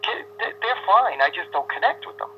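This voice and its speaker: A man's voice: high voice, very animated, slight background noise. Opinionated man on a dull phone line. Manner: opinionated, talks in quick bursts. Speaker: interview guest. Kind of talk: casual phone interview.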